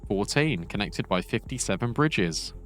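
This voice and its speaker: A male esports caster with a lowish voice, talking through plays in a relaxed, thinking-aloud way. Faint music plays underneath. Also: a little slow. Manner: conversational, repeats words as he thinks aloud. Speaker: esports commentator. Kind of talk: live game commentary and casual chat.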